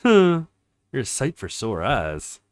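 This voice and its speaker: A man's voice: medium pitch, wildly swooping pitch, takes it slowly, no echo. A man voicing a cocky, flirtatious male smuggler in a visual novel, with a smug, teasing delivery. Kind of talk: reading visual novel dialogue.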